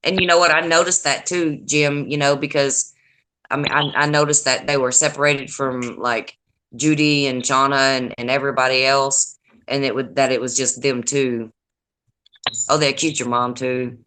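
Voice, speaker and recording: An older woman with a deep raspy voice and a Deep Southern accent, hosting a casual livestream chat in a blunt, profane, gossipy way. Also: flat delivery, moderate pace, a bit bright. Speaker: livestream host. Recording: noisy livestream audio.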